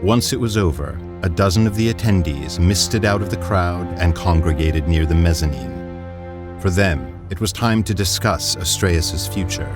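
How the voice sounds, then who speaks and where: A man narrating an audio drama in a calm, measured way. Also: deep voice, music in the background. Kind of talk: scripted narration.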